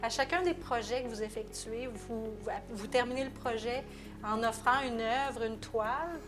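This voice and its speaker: A woman's voice: on the high side, moderate pace. A woman with a Québécois French accent hosting a TV interview, chatty and full of hesitations and restarts. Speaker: TV host. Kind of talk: casual interview.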